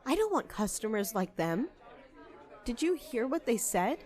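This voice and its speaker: A woman's voice: on the high side, sing-song, unhurried, darkish tone. A woman playing an outspoken, hot-tempered cafe worker who stands up for outcasts, in an audio drama. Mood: upset, heated. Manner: confrontational, impassioned.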